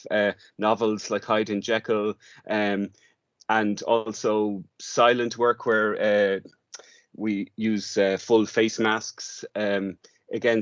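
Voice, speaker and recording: A man with a fairly low voice and a dry, close sound explains his work in an informal interview. He speaks hesitantly, piecing his thoughts together as he goes. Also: dry recording. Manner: hesitant, thinking aloud in fragments. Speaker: theatre director, interview guest.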